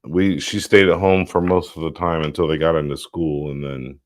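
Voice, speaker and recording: A middle-aged man, a pastor, chatting as an interview guest in an easygoing way with a booming voice. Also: flat delivery, moderate pace, dry recording, studio quality. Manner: easygoing, loose with fillers like "like". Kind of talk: casual interview chat.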